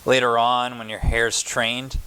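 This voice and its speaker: A man's voice: mid-range voice, flat delivery, unhurried, bright, forceful tone, clean tone, poor audio. A man voicing a kind, practical neighbour woman who patiently instructs a child in a picture book. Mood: patient, instructive. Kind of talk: picture book read-aloud.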